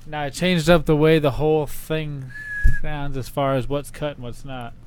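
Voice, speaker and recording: A man's voice: fairly high pitch, steady tone, moderate pace, darkish tone, a little hiss or hum. A man hosting a podcast from home, chatting loosely, joking and swearing. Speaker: podcast host. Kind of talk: casual banter. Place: home studio with kids around.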